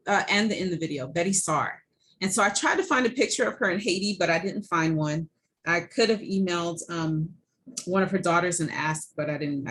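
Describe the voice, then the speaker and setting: A middle-aged woman lecturing to students over a set of slides, her voice sitting somewhat low for a woman. She talks loosely and conversationally, pausing on ums and restarting her sentences as she goes. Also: slight background noise. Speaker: college professor.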